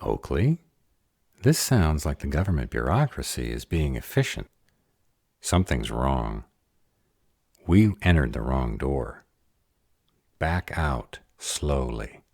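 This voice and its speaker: A man's voice: rumbling low, big pitch swings, slow, pauses often, a little mellow, husky, no room sound, studio quality, full character voice. An actor playing a sarcastic but protective male were-dragon in an audio roleplay, muttering sardonic asides. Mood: wary.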